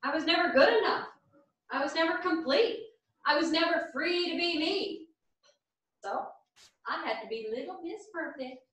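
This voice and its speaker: A woman's voice: extremely high pitch, moderate pace, pauses often, a bit bright. A woman playing a dutiful, bitter perfectionist in a staged play reading, recorded at a distance in a theatre. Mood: bitter, emphatic. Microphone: far camera. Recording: echoey theatre, far from the microphone.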